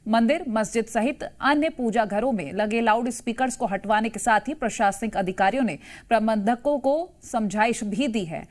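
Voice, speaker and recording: A woman's voice: on the high side, normal speed, hardly any pauses. A woman with an Indian accent presenting live television news as the anchor.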